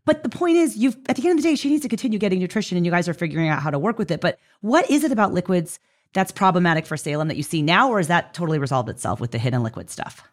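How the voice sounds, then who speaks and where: Woman, podcast interviewer, quick pace, lively pitch, informal conversational style.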